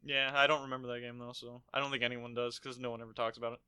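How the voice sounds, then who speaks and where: A man's voice: medium pitch, little pitch movement, racing, bright, forceful tone, clean tone. A man co-hosting a podcast, talking about films in an informal, bantering, sweary way. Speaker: podcast co-host. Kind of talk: casual film discussion chat.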